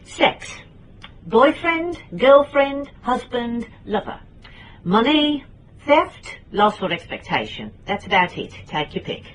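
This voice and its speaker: An actress playing a middle-aged British private detective, blunt and dryly wry, in radio drama dialogue and noir narration. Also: female voice, on the high side, very animated, takes it slowly, slight background noise. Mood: brisk, reassuring.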